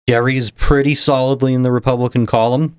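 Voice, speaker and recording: A young man from New Hampshire, a political candidate, explaining things in a measured, casual interview manner. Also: medium pitch, little pitch movement, clear, resonant tone, no room sound, studio quality. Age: young adult. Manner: measured, explanatory, chuckles between points.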